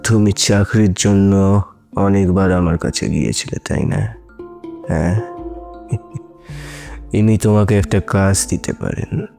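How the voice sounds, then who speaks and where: Scheming elderly male professor, deep and slow, coldly persuasive, for suspense audio drama. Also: creaky, warm tone, faint music behind, full character voice. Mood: smoothly coaxing. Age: older. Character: aging, scheming professor who procures girls for traffickers. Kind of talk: Bengali audio drama acting.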